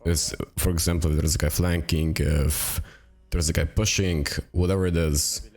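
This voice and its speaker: A young man explaining a game tactic in a relaxed, rambling way, sounding raspy and low on energy from a cold. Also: normal speed, soft background music. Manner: relaxed and rambling, with many fillers. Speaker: gaming video creator. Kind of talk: casual tutorial narration. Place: home apartment.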